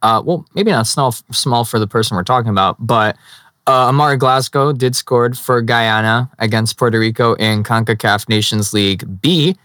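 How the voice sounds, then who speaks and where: A man's voice: medium pitch, a bit bright, no echo, clean studio sound. A young man with a Chicago accent hosting a casual sports podcast, laughing easily and restarting his sentences. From Chicago area. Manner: laughs often, halting restarts. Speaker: podcast host. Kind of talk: casual chat.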